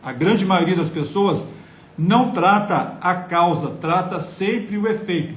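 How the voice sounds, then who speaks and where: A man's voice: sits high, lively intonation, unhurried, echoey room. A man giving a live-streamed health talk, lecturing viewers earnestly and asking them rhetorical questions. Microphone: phone on mobile data.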